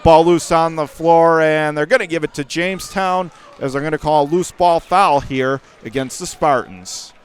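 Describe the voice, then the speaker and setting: A man doing live radio play-by-play of a basketball game in clipped running phrases, with excitable calls. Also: a little high, moderate pace, hardly any pauses, clean tone. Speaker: play-by-play commentator.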